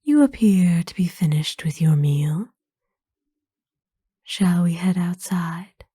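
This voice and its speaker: A woman voicing a kind, ancient aristocratic vampire lady in a whispered ASMR roleplay, speaking softly with formal, soothing, wistful phrasing. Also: lowish voice, expressive, slow pace, no room sound, clean studio sound, heavily acted. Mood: soft, admiring. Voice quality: soft, breathy whisper.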